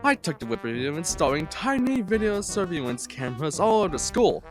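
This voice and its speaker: A man voicing a gloating, scheming male school principal, with a smug, drawn-out villainous delivery and faint music behind. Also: on the high side, very animated. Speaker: fan voice actor.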